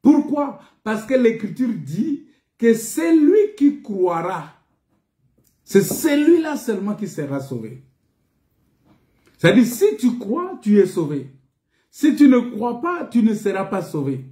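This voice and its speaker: A man preaching a sermon in an emphatic, repetitive teaching manner, pausing on rhetorical questions. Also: sits high, very animated, mellow. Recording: echoey, reverberant room.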